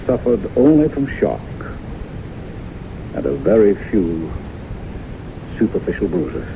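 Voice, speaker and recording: An actor playing an earnest, persuasive doctor and devoted lover, with emphatic, deliberate phrasing in 1970s radio drama style. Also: male voice, fairly low pitch, sing-song, exaggerated voice. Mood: grave. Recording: noisy, muffled old radio broadcast recording.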